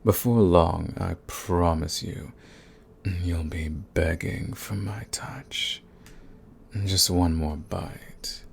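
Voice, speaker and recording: A man voicing a dominant, possessive, seductive vampire in an intimate ASMR roleplay, coaxing and condescending, at times in a breathy whisper. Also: low-pitched, drawn-out words, slight background noise, heavily acted. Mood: seductive, menacing.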